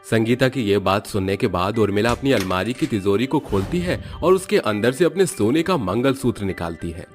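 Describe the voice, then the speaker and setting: A man narrating an animated moral story in a steady, matter-of-fact way. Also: on the low side, moderate pace, hardly any pauses, faint music behind.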